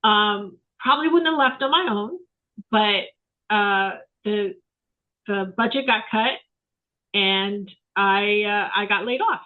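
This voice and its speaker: A woman's voice: medium pitch, slow pace. A woman around sixty, a photographer guest, talking in a rambling, reflective way with many fillers over a remote call. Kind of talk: casual interview chat. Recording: tinny phone-like line.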